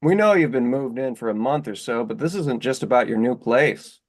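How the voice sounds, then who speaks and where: An actor playing a blunt, well-meaning young husband in a virtual staged reading of a play. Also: male voice, medium pitch, expressive, moderate pace, close to an everyday voice. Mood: blunt, upbeat.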